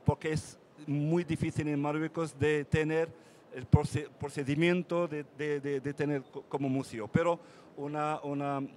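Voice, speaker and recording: Halting man, many restarts. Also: a little high, flat delivery, a little mellow, slight background noise. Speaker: mayor. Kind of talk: conference panel talk. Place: conference panel.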